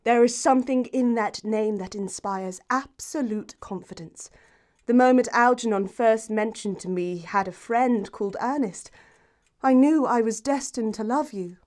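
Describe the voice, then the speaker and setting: A woman's voice: fairly high pitch, normal speed, darkish tone, close to an everyday voice. A woman playing a self-assured, romantic young upper-class English lady, poised and precise, in an audiobook stage comedy. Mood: ardent. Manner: poised, precise, grandly emphatic.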